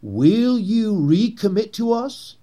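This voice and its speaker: A man acting as a persuasive, grandiose Confederate conspiracy leader in an 1860s period drama, with theatrical, pleading oratory and emphatic pauses. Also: high voice, sing-song, dark tone, exaggerated voice. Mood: fervent pleading.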